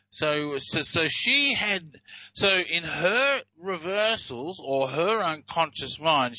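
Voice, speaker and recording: A man's voice: fairly high pitch, sharp tone, no room sound, muffled recording. A man presenting and explaining recorded clips in a casual, hesitant way, with many fillers and restarts. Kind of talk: casual explanatory talk over played clips.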